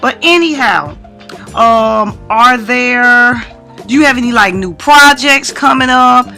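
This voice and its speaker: A woman's voice: a little high, takes it slowly, sharp tone, faint music behind. A woman hosting a radio interview in an informal, chatty, colloquial way. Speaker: radio show host.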